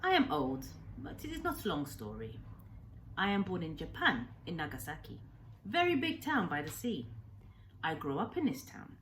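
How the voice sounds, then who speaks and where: Kindly old Japanese castaway doctor played by a woman reader, halting emphatic phrases, swooping intonation, storybook telling. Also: lowish voice, huge pitch swings, a bit bright, slight background noise. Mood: solemn, proud. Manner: halting simplified English, earnest emphatic short sentences.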